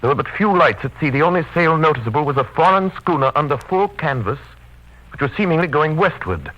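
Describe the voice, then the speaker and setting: A man's voice: on the high side, expressive, natural voice. Man acting a grave doctor on muffled radio. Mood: ominous narration. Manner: formal, measured, grave. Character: sober male doctor formally presenting evidence. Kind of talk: 1930s radio drama narration. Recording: muffled vintage radio recording.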